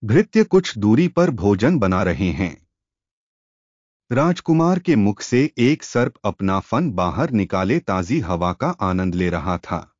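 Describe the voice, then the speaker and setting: A low-voiced man narrates a traditional moral story. His delivery is formal and literary, with very animated pitch movement. The recording is close and dry but somewhat dull. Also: takes it slowly, no echo. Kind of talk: scripted narration of a Hindi moral tale.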